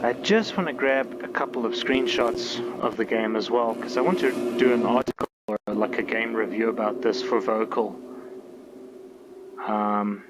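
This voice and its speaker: A man's voice: on the low side, moderate pace. Man commenting on a game over noise. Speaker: game reviewer playing and commenting. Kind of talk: casual let's play commentary.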